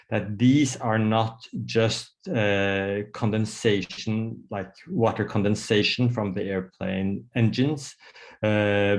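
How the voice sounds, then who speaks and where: Norwegian-accented man, somewhat low voice, hesitant workshop presenter on a video call, lots of fillers. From Norway. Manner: hesitant, many fillers and restarts. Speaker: psychiatrist giving a workshop talk.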